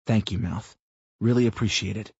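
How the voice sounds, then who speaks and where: A man's voice: fairly low pitch, moderate pace, a little mellow, husky, no echo, lo-fi sound. A man playing a weary, earnest father. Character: troubled, earnest middle-aged father.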